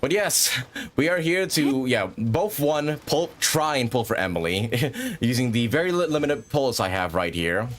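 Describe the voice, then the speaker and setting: A man's voice: medium pitch, animated, unhurried, slight background noise. A man hosting a livestream with casual, rambling gaming commentary and frequent chatty asides. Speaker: livestream host.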